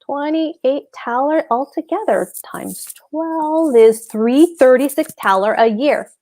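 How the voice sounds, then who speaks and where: Woman reading cheeky married landlord guy in a play, highish voice, blunt and smug, always coaxing. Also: lively intonation, takes it slowly, mellow, heavily acted. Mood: calculating. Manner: blunt, suggestive, smugly coaxing. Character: brazen, philandering married landlord, a smug man. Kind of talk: play table read.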